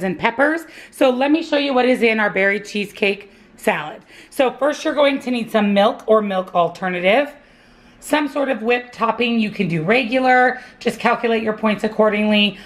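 Bright-voiced woman narrating a cooking video. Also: mid-range voice. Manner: chatty, instructive, upbeat. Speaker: cooking vlogger. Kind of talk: friendly step-by-step cooking voice-over. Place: home kitchen.

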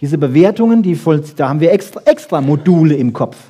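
A man giving a lively popular science lecture, emphatic, with rhetorical questions and short punchy asides. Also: a little high, fast, husky. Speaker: lecturer on brain research.

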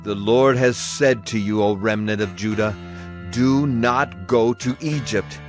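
A man's voice: fairly low pitch, unhurried, lightly acted. Stern, solemn prophet played by a man, music behind. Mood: grave, accusing. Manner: solemn, declamatory, emphatic. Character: stern male Hebrew prophet, solemn and warning. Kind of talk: dramatized audio Bible acting.

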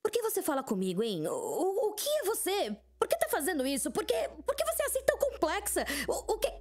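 A woman's voice: extremely high pitch, wildly swooping pitch, fast talker, heavily acted. A woman playing a frantic young hacker in an audio drama, agitated, raising her voice and stammering. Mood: desperate, agitated. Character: frantic young female hacker demanding answers.

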